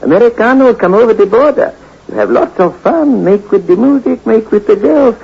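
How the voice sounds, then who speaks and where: In an old, muffled radio recording, a man plays a chatty hotel clerk with a high, lilting voice that swoops around. The voice is dark and husky with a gravelly edge, and he talks in eager, sing-song broken English. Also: very animated, moderate pace, heavily acted. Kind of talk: 1940s radio acting.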